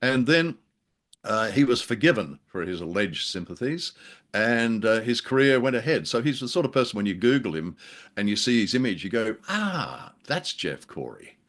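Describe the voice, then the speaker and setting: A man's voice: medium pitch, sing-song, normal speed, fairly bright tone. A man co-hosting a podcast, chatting casually about old radio drama in a wry, talkative manner. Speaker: podcast co-host.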